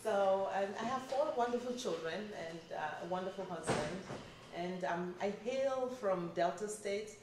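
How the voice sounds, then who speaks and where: A woman's voice: mid-range voice, expressive, unhurried, far from the mic, background noise. A middle-aged woman from Nigeria, earnestly answering an interviewer at a community town hall and listing her points one by one. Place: recreation center, crowd nearby. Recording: roomy hall, voice from off-mic, crowd behind.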